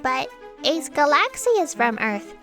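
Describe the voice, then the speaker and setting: A flustered, deferential female fact checker in a comedy audio drama. Her voice is fairly high and bright, leaping around with anxious eagerness. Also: sing-song, unhurried, faint music behind, exaggerated voice. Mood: earnest, alarmed.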